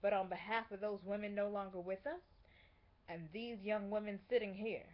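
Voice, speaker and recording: A woman playing a dignified, indignant female civil rights activist, delivering a measured, emphatic theatrical speech. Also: mid-range voice, fairly even intonation, natural voice. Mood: resolute, bitter. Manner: measured, emphatic, rhetorical, with weighty pauses. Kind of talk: theatrical monologue, oratorical speech. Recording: dull lo-fi amateur recording.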